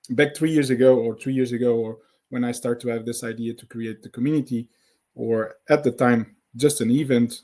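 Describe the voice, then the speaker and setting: A man in his thirties from Portugal with a Portuguese accent, a native Portuguese speaker talking English as a podcast guest, halting speech full of fillers and repeated words. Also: mid-range voice, dark tone. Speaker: guest, founder of a sales community. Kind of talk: conversational podcast interview.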